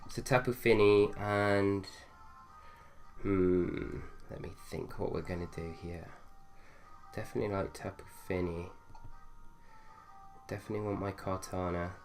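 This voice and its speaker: A man's voice: low-pitched, fairly even intonation, unhurried, pauses often, faint music behind. A young man with a slightly nasal voice from a cold, casually commentating on his own gameplay and thinking aloud with fillers. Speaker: gaming video host.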